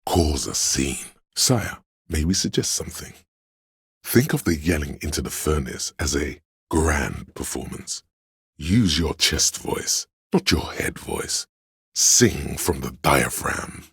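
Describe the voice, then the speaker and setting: An extremely deep, gravelly theatrical schemer. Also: male voice, lively intonation, slow, fairly bright tone, no room sound, studio quality, full character voice. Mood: suspicious, sly, then grandiose, coaxing. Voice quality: gravelly, husky. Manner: theatrical, archaic, sly courtly flourishes. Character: theatrical, scheming inner voice of drama, a courtly servant. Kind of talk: video game voice lines, addressing a liege.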